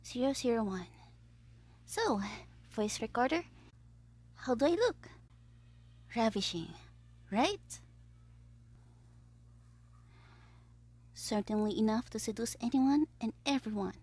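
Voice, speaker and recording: A woman's voice: a little high, dark tone. A woman playing a smug, theatrical young supervillain who schemes playfully in an audio roleplay diary. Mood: smug scheming. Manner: theatrical, playful, self-satisfied, drifting into conspiratorial whispers.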